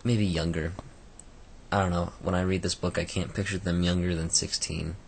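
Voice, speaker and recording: A man with a croaky voice giving a casual, rambling book review, full of fillers and restarts. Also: low voice, little pitch movement, normal speed, slight background noise.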